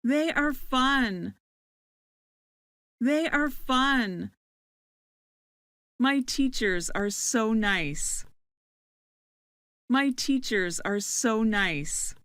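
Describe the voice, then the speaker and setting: A woman playing a friendly new student in a slow scripted dialogue for English learners, saying each line twice. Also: fairly high pitch, expressive, fairly bright tone, no echo, clean studio sound, exaggerated voice.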